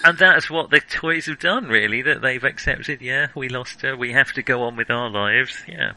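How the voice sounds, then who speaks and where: A man co-hosting a casual film discussion, talking in a wry, animated, emphatic way. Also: mid-range voice, normal speed, no room sound, low-quality recording. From Europe. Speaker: podcast co-host.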